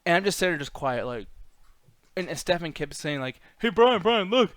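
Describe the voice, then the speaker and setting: A man's voice: fairly high pitch, sing-song, brisk, fairly bright tone, no echo, clean studio sound. A man co-hosting a casual chat, telling rambling, profane anecdotes and acting out the quoted lines. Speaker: co-host of a movie discussion show.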